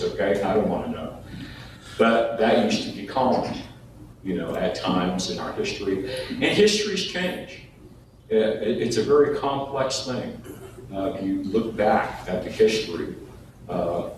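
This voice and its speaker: Earnest preacher in echoey church. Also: male voice, lowish voice. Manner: earnest, folksy, halting with restarts. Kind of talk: sermon. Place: church sanctuary. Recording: echoey hall, distant mic, background noise.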